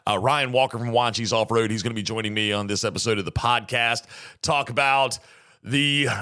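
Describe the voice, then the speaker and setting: An American man with a slightly hoarse voice, hosting a podcast in a chatty, rambling, folksy monologue. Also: mid-range voice, steady tone, fairly quick, pushed and bright. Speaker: podcast host. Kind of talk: casual solo monologue, podcast intro.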